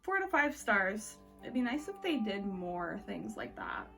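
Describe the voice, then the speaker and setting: A woman's voice: medium pitch, big pitch swings, measured pace, creaky, sharp tone, faint music behind. A woman talking casually and conversationally as a book vlogger, giving informal reviews with wry asides.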